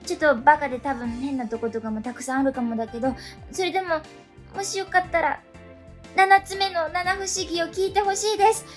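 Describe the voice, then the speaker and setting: A woman's voice: high-pitched, steady tone, brisk, soft background music, close to an everyday voice. A woman playing a quirky, earnest, lovestruck schoolgirl with a dramatic way of talking in a radio drama. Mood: earnest, infatuated. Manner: dramatic, drops musical terms into her talk. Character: quirky, earnest schoolgirl in love with transfer student.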